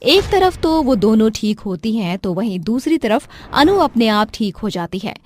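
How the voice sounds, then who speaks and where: A woman's voice: on the high side, very animated, normal speed, slight background noise. A woman narrator reading a scripted dramatic story for listeners. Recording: music behind the voice.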